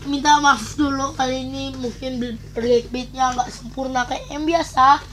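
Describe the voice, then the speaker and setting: A young woman, hoarse today, chatting playfully and quickly to her viewers as a beatbox vlogger. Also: high voice, fairly even intonation, fairly bright tone, slight background noise. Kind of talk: casual vlog chat.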